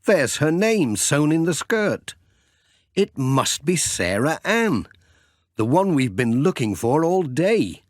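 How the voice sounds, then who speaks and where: A man's voice: fairly high pitch, big pitch swings, slow, no room sound, studio quality, voice pushed up. A man voicing a kindly, cheerful village postman with a friendly, earnest way of talking in a children's storybook. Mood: pleased. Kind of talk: children's storybook character dialogue.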